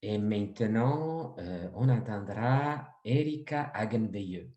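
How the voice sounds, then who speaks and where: Male literary host, lowish soft-toned voice, scripted introductions, roomy echo. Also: lively intonation, slow, dull audio. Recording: echoey room sound.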